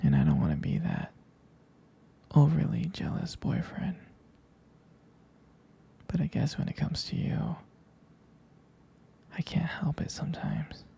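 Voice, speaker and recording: A man playing a jealous, protective and doting boyfriend in an intimate roleplay ASMR, speaking softly and hesitantly in whispers. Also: on the high side, wildly swooping pitch, unhurried, creaky, long pauses, breathy edge, heavily acted. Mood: jealous, possessive.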